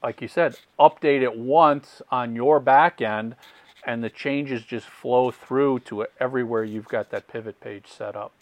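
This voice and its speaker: A man's voice: mid-range voice, measured pace, dry recording, lo-fi sound. A man hosting a podcast, eagerly chiming in with stumbling, repeated starts to his sentences. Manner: enthusiastic, repeats words when starting sentences. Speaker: podcast host. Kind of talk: casual podcast interview.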